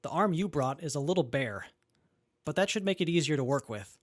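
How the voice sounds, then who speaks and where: Guy playing a young wasteland tinkerer, lighter pitched, calm practical delivery, dry audio drama sound. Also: a little fast, no echo. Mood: calm, friendly. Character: capable, good-humoured young male wasteland robot mechanic. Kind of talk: post-apocalyptic audio drama acting.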